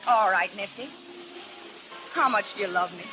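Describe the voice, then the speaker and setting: A woman's voice: medium pitch, normal speed, music in the background, natural voice. A woman playing a lovesick American carnival showgirl, pleading and slangy, in 1930s radio style. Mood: needy. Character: lonesome, needy carnival showgirl in love, tough slangy. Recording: noisy old 1930s radio recording.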